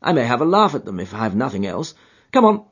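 A man reading aloud voices a sharp, aloof male detective, quick and superior. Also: medium pitch, sing-song, slightly rough, heavily acted. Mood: languid. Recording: dull lo-fi recording.

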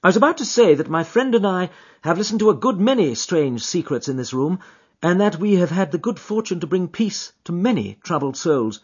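A man's voice: high-pitched, husky, highs cut off, pitched above the performer's own voice. A man doing a genial, shrewd detective. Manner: easy, genial, precise. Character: shrewd, composed middle-aged male detective.

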